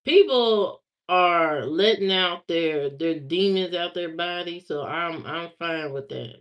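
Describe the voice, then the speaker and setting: Woman from Indiana, livestream chat, unhurried delivery, talks back to viewers, slightly dull lo-fi sound. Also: lowish voice. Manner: chatty, repeats herself, talks back to viewers. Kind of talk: casual livestream chat reading comments. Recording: slightly muffled lo-fi stream audio.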